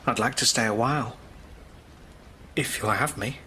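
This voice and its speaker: A man playing a sheepish, apologetic and soft-hearted monkey in a comic TV advert, speaking haltingly. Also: medium pitch, a little hiss or hum, lightly acted. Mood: hopeful. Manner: hesitant, halting. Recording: music loud behind the voice.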